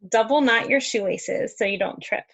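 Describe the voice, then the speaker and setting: A woman reading a picture book aloud to young children, expressive and encouraging, with conversational asides. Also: fairly high pitch, normal speed, creaky. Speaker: read-aloud host for children.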